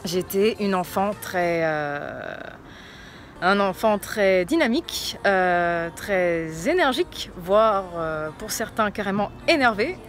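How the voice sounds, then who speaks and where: A woman's voice: mid-range voice, animated, slow pace, faint music behind. A young woman from Portugal with a light northern Portuguese accent, a fitness coach telling her own story in a chatty vlog, with frequent fillers.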